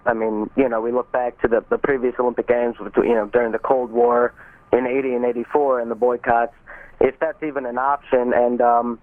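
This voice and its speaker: A man's voice: medium pitch, level tone, a little fast, dry recording. A man phoning in to a broadcast, rambling and hesitant. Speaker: prank phone-in caller. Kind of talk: live phone call to a broadcast. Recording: dry narrow phone line.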